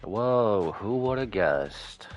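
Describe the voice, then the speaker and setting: A man's voice: lowish voice, expressive, drawn-out words. A man casually commenting on a game playthrough and reading its text aloud, with music behind him. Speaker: game streamer.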